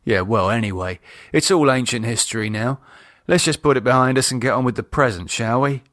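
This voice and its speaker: A man's voice: medium pitch, brisk, a bit bright, no echo, studio quality, lightly acted. A man playing an uneasy, reluctant man with a dryly sarcastic, hesitant manner in audiobook dialogue. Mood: guarded. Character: uneasy, sardonic adult man, wary and reluctant.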